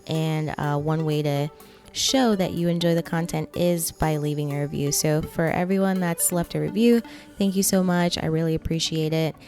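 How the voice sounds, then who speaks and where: A woman with a fairly low-pitched voice hosts a podcast in a conversational style. Music plays behind her as she talks. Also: moderate pace, a little mellow. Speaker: podcast host.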